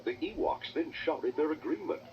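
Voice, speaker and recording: A man's voice: on the high side, expressive, normal speed, crowd noise. A man narrating a children's read-along adventure in a dramatic, scripted storytelling style. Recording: muffled distorted toy cassette playback. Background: loud dramatic music.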